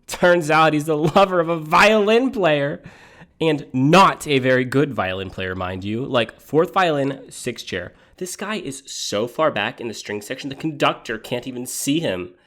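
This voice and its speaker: A man's voice: fairly high pitch, animated, unhurried, a bit bright. Guy playing a needy catty dumped man, campy comic monologue, rambly and exasperated, voice all over the place. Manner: rambling, incredulous, campy complaining.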